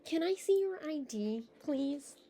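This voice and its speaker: A woman's voice: sits high, lively intonation, unhurried, mellow. A nineteen-year-old woman reading a visual novel aloud, breaking off into chatty, laughing tangents. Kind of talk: game playthrough with casual asides.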